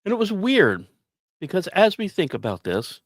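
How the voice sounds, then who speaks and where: Male podcast host, lively swooping intonation, dramatic storytelling, deliberate pauses, dry close sound. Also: high voice, dry recording. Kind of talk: scripted narration of space news, storytelling delivery.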